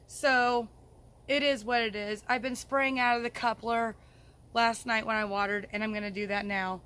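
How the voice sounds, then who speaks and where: High-voiced woman with a cold, chatting outdoors. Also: fairly even intonation, normal speed, a bit bright, slight background noise. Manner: folksy, informal, chatty. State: getting over a cold, sore throat. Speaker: gardening vlogger, host. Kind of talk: casual how-to vlog talk. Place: outdoors in a home garden.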